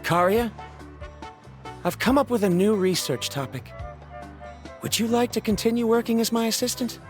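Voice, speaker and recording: A woman's voice: fairly high pitch, moderate pace, soft background music, lightly acted. A woman playing an earnest, stubborn, bookish researcher with formal, academic speech in a fantasy video game. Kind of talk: English video game voice acting.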